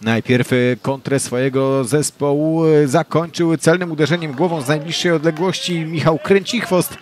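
Male football commentator, slightly high voice, scripted highlights narration. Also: moderate pace. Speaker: match commentator.

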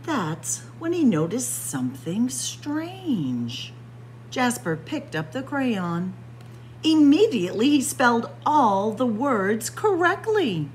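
Expressive woman reading a spooky picture book. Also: a little high, slow pace, slight background noise, poor audio. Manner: dramatic, expressive storytelling with spooky whispers. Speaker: children's librarian. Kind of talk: picture book read-aloud. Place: library with bookshelves.

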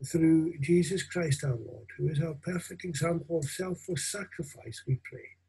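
An older man, a minister, reading formal prayers solemnly, reverently and deliberately. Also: fairly high pitch, creaky, mellow, breathy edge, poor audio. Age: 60s or older. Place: small room at home. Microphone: video call or webcam at desk distance.

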